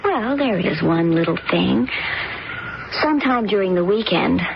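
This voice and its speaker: A woman's voice: mid-range voice, lively intonation, measured pace, creaky. An actress playing a young rich woman who coolly plots a murder in a radio drama. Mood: calculating. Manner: cool, calculating, insistent. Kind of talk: 1970s radio drama acting. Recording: dull old radio broadcast, noisy.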